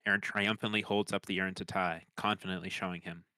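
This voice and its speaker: Deep-voiced man reading stage directions. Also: flat delivery, fairly quick, sharp tone, clear, resonant tone, no echo. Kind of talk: scripted screenplay narration at a table read.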